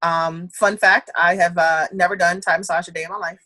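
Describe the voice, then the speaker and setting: A young woman talking as a podcast guest in casual chat, animated and slangy, laughing often. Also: fairly low pitch, brisk, no echo. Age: young adult.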